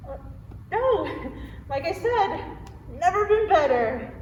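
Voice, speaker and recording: A woman's voice: very high voice, very animated, slow pace, darkish tone, lo-fi sound, heavily acted. A young woman acting in a student stage play as an insecure, clingy girlfriend who stammers nervously and repeats herself. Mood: awkward, evasive. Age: young adult. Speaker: student actor. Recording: echoey hall, far mic, faint noise.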